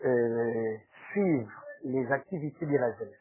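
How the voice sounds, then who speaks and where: A man from DR Congo with a Congolese accent and a husky voice, answering formally as a spokesman, hesitantly and with frequent tag questions. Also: mid-range voice, unhurried. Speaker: interview guest, foundation spokesman. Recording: roomy, tinny phone-like sound.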